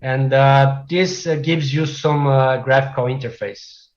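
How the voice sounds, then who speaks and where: A man from Brazil whose first language is Portuguese, giving a technical presentation as a developer, with frequent "uh" fillers and measured delivery. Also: mid-range voice, steady tone, muffled recording. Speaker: conference speaker, software developer.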